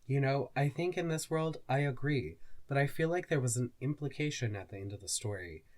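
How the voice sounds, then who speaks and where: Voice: mid-range voice, a little fast, darkish tone. A podcast co-host chatting casually about stories, phrasing things loosely with lots of "like". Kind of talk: casual chat discussing fan fiction.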